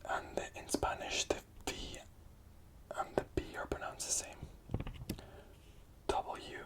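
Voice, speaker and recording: A speaker whispering a gentle ASMR-style language lesson, patiently repeating each letter and phrase and offering encouragement. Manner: whispering softly, repeating each sound slowly. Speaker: Spanish teacher.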